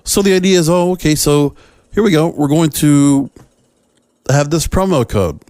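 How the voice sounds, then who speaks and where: A man's voice: on the high side, normal speed, darkish tone, dry recording, clean studio sound. A man hosting a solo podcast, giving opinionated commentary in a conversational, emphatic way and addressing listeners directly. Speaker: podcast host.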